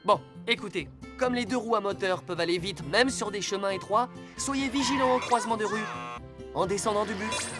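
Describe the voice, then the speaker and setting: A woman's voice: mid-range voice, a little fast, over music, natural voice. A woman voicing an earnest, caring rescue-car hero who gives safety lessons in a dubbed children's cartoon. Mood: earnest, instructive. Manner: clear, instructive, earnest.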